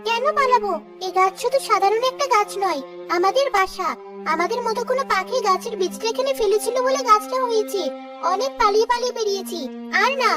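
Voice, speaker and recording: Tiny super high mama bird, sly and stubborn, cartoon, loud music behind. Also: female voice, brisk. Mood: defiant. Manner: sly and defiant. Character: bold, crafty, stubborn little mother bird. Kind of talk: children's cartoon dialogue.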